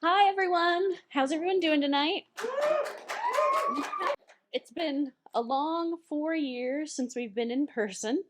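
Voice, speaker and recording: A woman with a fairly high voice speaks into a handheld microphone to a live crowd in a library. She is the evening's host, cheerful and welcoming as she thanks sponsors and brings up the first featured poet. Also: takes it slowly, pauses often, very noisy. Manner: upbeat, welcoming. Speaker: poetry slam host. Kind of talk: emcee introduction to a live audience. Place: public library event room.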